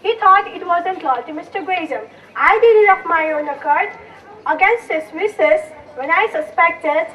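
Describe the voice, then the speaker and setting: A woman's voice: extremely high pitch, expressive, moderate pace, fairly bright tone. A female student acting an anxious, fussing mother in an amateur stage play. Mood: guarded, defensive. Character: anxious, fussing mother waiting for her husband. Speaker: student actor in a school play. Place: school stage, recorded from far off. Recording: distant, muffled stage recording.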